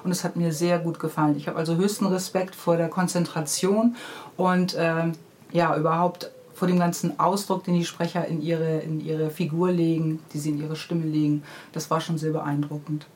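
A woman with a fairly low voice answers as an interview guest. She is casual and enthusiastic. Faint ambient noise sits behind her. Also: little pitch movement, moderate pace, a little mellow. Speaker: interview guest, children's book author. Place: studio.

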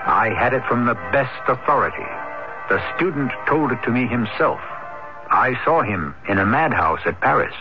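A man hosting a radio mystery series with measured, theatrical scripted narration. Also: medium pitch, expressive. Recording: dull old radio broadcast, loud music.

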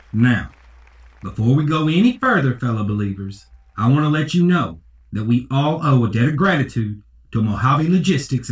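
A man's voice: mid-range voice, lightly acted. An actor playing a greedy, folksy showman preacher delivering an unctuous sermon in an audio drama. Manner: unctuous, folksy, deliberate emphatic pauses. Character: greedy showman preacher working his congregation for donations. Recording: echoey, distant church hall with crowd.

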